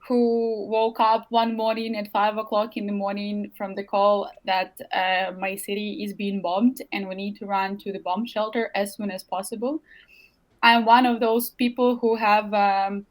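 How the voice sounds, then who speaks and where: Earnest Ukrainian woman, halting speech. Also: medium pitch, low-quality recording. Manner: earnest, searching for words with many fillers. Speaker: interview guest. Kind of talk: remote interview.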